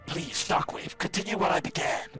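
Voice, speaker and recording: A man's voice: a little high, moderate pace, music in the background, close to an everyday voice. A man voicing a haughty, devious robot scientist in a scripted audio drama, speaking in a formal, condescending, theatrical manner. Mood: grave, urgent.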